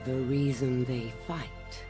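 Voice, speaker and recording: A woman voicing a ruthless, commanding female warlord in a game cutscene, speaking slowly and gravely with a hushed menace. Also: a bass voice, steady tone, over loud music. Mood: grim, menacing. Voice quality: slow, measured, grave delivery with hushed menace. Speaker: villain narrator. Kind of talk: scripted video game cutscene narration.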